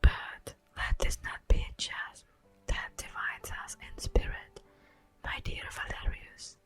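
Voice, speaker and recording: A woman playing a solemn, tender elf guardian of the forest in a breathy, whispered ASMR roleplay, speaking formally and poetically. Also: a bit bright, faint music behind. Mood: sorrowful, tender. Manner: slow, formal, poetic, drawn-out delivery.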